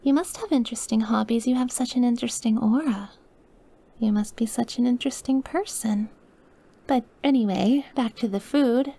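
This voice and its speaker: High soft voice, woman performing a young dying yandere, sweet, shy, wistful, hesitant, breathy murmurs, intimate ASMR roleplay. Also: moderate pace. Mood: shy, nervous, then sweet, eager. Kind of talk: ASMR audio roleplay, intimate one-sided dialogue.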